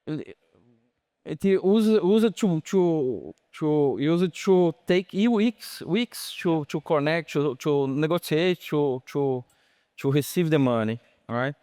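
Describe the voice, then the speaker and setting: A man from Brazil with a Brazilian Portuguese accent, a bank executive answering on a conference panel in his second language, pausing often and searching for words. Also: a little high, expressive, dark tone, clean tone, no room sound, studio quality. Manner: halting, searching for English words, many fillers and restarts.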